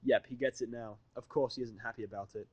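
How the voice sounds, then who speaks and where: A man's voice: mid-range voice, steady tone, fast talker, a little mellow, no echo. A man narrating fan fiction as a dramatic first-person story reading.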